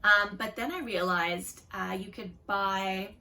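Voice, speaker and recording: A woman's voice: mid-range voice, fairly even intonation, slow, gravelly, sharp tone, distant mic, slight background noise. A woman hosting a knitting podcast, chatting casually in a rambling way with lots of fillers and self-deprecating asides. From Canada. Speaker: podcast host. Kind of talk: casual chat.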